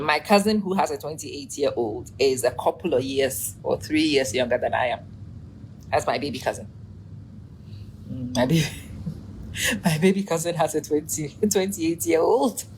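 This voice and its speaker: A woman in her forties hosting a casual live stream, chatting, laughing often and greeting viewers by name, with her energy running low. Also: a little hiss or hum, low-quality recording.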